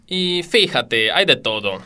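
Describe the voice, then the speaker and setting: An Argentine actor playing a sly, easygoing crook in a comedic radio play. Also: male voice, medium pitch, very animated, slow pace, sharp tone, full character voice. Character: easygoing, sly male crook hosting guests.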